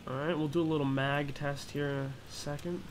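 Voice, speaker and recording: A man's voice: fairly high pitch, steady tone, brisk, noisy background. A man giving a casual flight simulator tutorial, explaining each step in an offhand, hesitant way. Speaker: gaming tutorial host.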